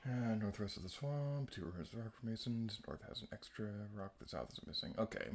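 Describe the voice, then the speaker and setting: A man streaming a video game with a naturally quiet voice, chatting casually and a little self-deprecating as he plays. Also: lowish voice, lively intonation, fairly quick, a little hiss or hum. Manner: soft-spoken, rambling and self-deprecating. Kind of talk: casual gameplay commentary.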